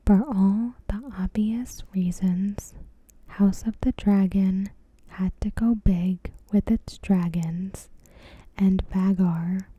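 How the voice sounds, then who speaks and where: A woman ASMR host reads a review in a soft, breathy, unvoiced whisper with a deliberate delivery. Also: mid-range voice.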